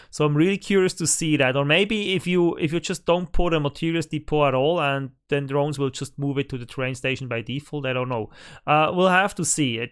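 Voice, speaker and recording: A man's voice: on the high side, fairly bright tone, clear, resonant tone. Hesitant, German-accented man thinking aloud. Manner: hesitant, thinking aloud with many fillers and restarts. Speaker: gaming channel host. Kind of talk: casual game news commentary. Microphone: desk microphone.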